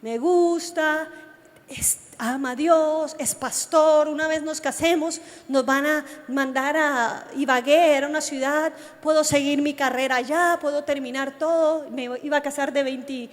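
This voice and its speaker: A woman's voice: sits high, fairly even intonation, measured pace. A woman with a Colombian accent preaching to young people, telling personal stories and addressing her listeners directly. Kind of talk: youth sermon with personal testimony. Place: large reverberant meeting hall. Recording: long hall reverb from a sound system.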